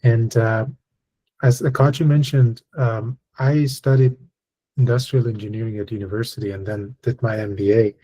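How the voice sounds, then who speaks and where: A man from Iran, in his thirties, speaking as a guest at an online session and telling his career story in a measured, thoughtful way with frequent fillers. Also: medium pitch, steady tone, dark tone, low-quality recording. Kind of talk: personal story in a webinar talk.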